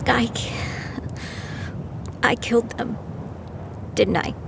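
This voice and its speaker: A woman playing an excitable, squeamish novice fighter in an audio drama, high-strung and rambling. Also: takes it slowly, vocal fry, background noise, heavily acted. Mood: shaken.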